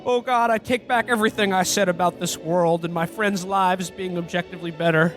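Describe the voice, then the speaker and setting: Man playing a very high-pitched, melodramatic podcaster. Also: fairly even intonation, brisk, a bit bright, faint music behind. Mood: desperate. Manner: stammering, melodramatic. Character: distraught, melodramatic podcaster shown a world without him. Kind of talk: comedic radio play acting.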